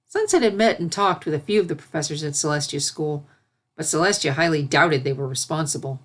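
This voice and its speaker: A woman's voice: low-pitched, expressive, brisk, a bit bright. A woman reading a fantasy story aloud as its narrator, in scripted narration. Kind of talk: scripted narration of fan fiction.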